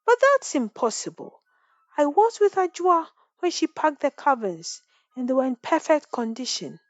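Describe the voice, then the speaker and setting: A protective village father in an animated folktale , voiced by a woman. He is gentle, earnest and reassuring. The recording is very dry. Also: high-pitched, moderate pace, no room sound, lo-fi sound, pitched above the performer's own voice. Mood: bewildered protest.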